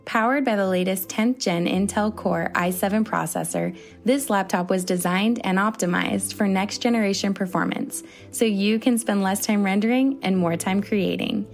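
A woman's voice: medium pitch, moderate pace, gravelly, hardly any pauses, soft background music. Woman reading a scripted ad. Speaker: sponsor announcer. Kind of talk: scripted ad read.

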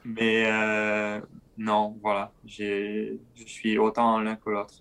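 A man's voice: on the low side, monotone, a bit bright, clean tone. Hesitant young man, slight southern French accent. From southern France. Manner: hesitant, many filler pauses. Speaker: guest, pro cyclist. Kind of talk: casual interview answers.

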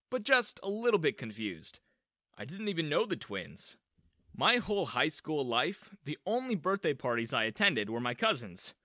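A man playing an awkward, smitten high school boy, eagerly narrating his own story in a scripted first-person style. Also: dry recording, studio quality. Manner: eager, animated.

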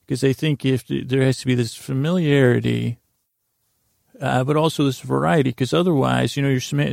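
Gravelly, monotone man rambling at bedtime. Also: medium pitch, no room sound, clean studio sound. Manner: meandering, halting, goofy and self-deprecating. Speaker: podcast host. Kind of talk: rambling bedtime story monologue.

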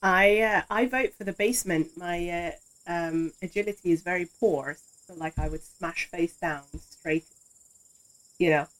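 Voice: medium pitch, normal speed, a bit bright, poor audio. A tabletop roleplay player talking casually and hesitantly about their character's choices. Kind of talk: casual game chat.

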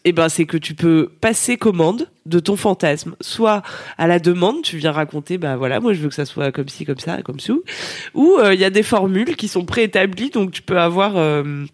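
A woman who works as a sex columnist chats on a podcast. She tells stories in a lively, rambling way, with plenty of jokes. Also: on the low side. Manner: lively, rambling storyteller, jokey. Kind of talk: casual chat.

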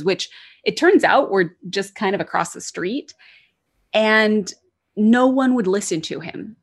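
A woman speaking as a guest on a podcast, retelling events in a lively, wry way with a mid-range voice. Her delivery is conversational and easygoing. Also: medium pitch. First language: English. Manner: lively, wry, laughs while telling. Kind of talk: storytelling in an interview.

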